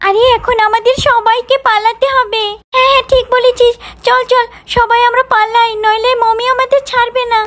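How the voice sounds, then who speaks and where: Woman voicing a very high-pitched frightened little child doll. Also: flat delivery, moderate pace, fairly bright tone, slight background noise. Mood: excited, alarmed. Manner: urgent, pleading, exclamatory. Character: frightened young village child doll screaming for rescue from a mummy. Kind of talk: children's doll story voice acting.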